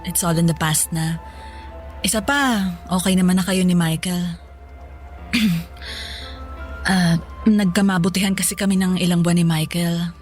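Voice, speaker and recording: A woman's voice: fairly low pitch, steady tone, unhurried, long pauses, soft background music, natural voice. An actress playing a chatty young Filipino office woman, breezy and coy, mixing English into her talk in a radio drama. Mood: confessional. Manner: casual Taglish, breezy, coy.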